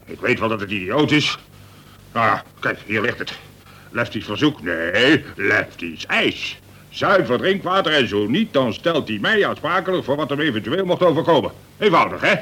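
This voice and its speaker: An exasperated middle-aged prison governor in a radio play, with a sharp, forceful voice that has a rough edge. He blusters like an irritable colonel and slips readily into sarcasm. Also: mid-range voice, big pitch swings, moderate pace, exaggerated voice. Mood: exasperated, sarcastic. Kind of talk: Dutch radio drama acting.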